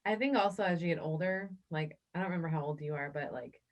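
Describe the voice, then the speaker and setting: A woman in her thirties chatting casually as a podcast co-host, blunt and quick to swear. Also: low voice. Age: 30s.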